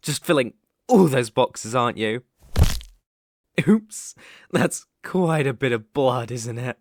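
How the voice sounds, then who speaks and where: A man's voice: mid-range voice, animated, slow, dry recording. Man acting a cocky British college bully, close-up. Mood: menacing taunting. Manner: teasing, condescending, swaggering. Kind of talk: ASMR roleplay acting.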